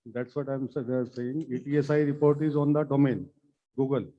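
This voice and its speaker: A man's voice: medium pitch, steady tone, brisk. A man putting hesitant technical questions to presenters from the audience, in slightly muffled sound.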